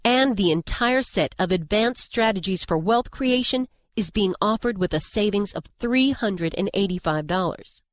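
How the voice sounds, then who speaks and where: A woman reading a scripted promotion with a smooth sales delivery. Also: mid-range voice, moderate pace, no echo. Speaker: ad announcer. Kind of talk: scripted ad read.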